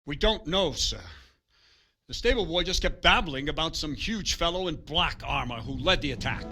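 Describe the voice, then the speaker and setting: Medieval guard captain from a game, urgent, formal, higher tense voice. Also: male voice, expressive, moderate pace, bright, forceful tone, full character voice. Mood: grave, urgent. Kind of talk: video game cutscene acting.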